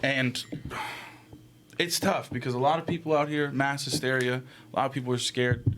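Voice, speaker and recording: A man with a medium-pitched voice talks casually into a podcast mic. He explains things in loose, slangy language with some swearing, and there is some background noise. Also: steady tone, moderate pace, a bit bright. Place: small room set up as a podcast studio. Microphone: close podcast microphone on a stand.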